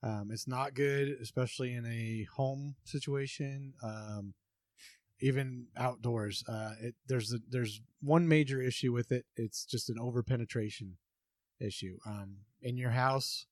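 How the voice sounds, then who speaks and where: A man's voice: medium pitch, steady tone, unhurried, a little mellow, no echo, clean studio sound. A man co-hosting a casual podcast, explaining things in an easygoing way with many ums and uhs. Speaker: podcast co-host.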